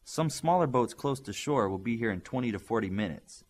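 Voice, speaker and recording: A man voicing a friendly, quick-witted young male superhero speedster in a storybook, talking casually. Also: mid-range voice, lively intonation, darkish tone. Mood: casual, practical.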